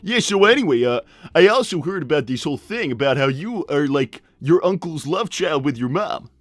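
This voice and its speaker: Glib cartoon animal boss, played by a man: blunt and rambling, highish lively voice, dry close sound, music behind. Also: normal speed, no echo. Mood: nonchalant. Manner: rambling, blunt, breezy, many digressions. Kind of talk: improvised live character roleplay.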